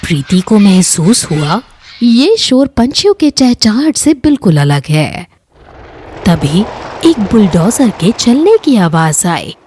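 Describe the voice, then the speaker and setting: Female storyteller for children's tales: mellow, unhurried, expressive and dramatic narration. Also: medium pitch, slight background noise.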